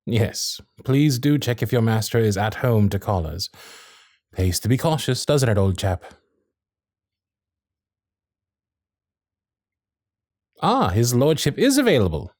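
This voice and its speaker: Casting: male actor as smitten Victorian gentleman, formal and frank, lively intonation, dry close sound, for romantic period audio roleplay. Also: mid-range voice, normal speed, dry recording. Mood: polite, brisk. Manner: formal, genteel, old-fashioned phrasing with theatrical flourishes.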